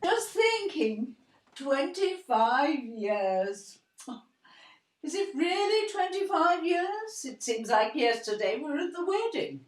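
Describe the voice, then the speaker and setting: An older English matriarch in a radio play, arch and disapproving. Her highish voice swoops with withering sarcasm in a roomy space. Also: sing-song, slow, recorded from a distance, heavily acted. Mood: wistful, withering. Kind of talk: audio drama acting. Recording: roomy echo, faint background noise.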